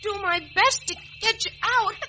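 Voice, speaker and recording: A woman playing a plucky, excitable young boy in an old-time radio drama. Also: very high voice, moderate pace, noisy background. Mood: urgent. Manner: eager, boyish, slangy.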